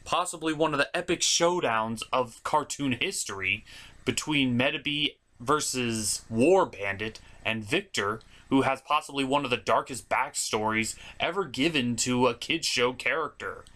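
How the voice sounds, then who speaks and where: A man's voice: mid-range voice, measured pace, pushed and bright. A man hosting an enthusiastic scripted cartoon review, emphatic and blunt with casual asides. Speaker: host of a cartoon review show.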